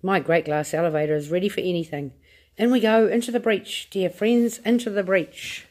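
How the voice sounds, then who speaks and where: Mister Wonka, read by a woman, bold and excitable. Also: low voice, quick pace, close to an everyday voice. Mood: confident. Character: eccentric excitable male inventor, confident. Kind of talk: read-aloud storybook voice.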